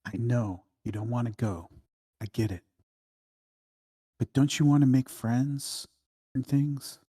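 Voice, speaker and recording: A woman with a husky voice playing a caring, reassuring guardian of a young boy at a screenplay table read. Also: a bass voice, takes it slowly, warm tone, full character voice. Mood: soothing.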